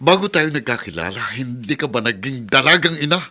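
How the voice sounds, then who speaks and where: An actor playing an angry, suspicious husband and mayor in a Filipino radio drama, confrontational and emphatic in delivery. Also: male voice, mid-range voice, lively intonation, a little fast, bright, forceful tone. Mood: accusing, heated. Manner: confrontational, emphatic, stammers when upset.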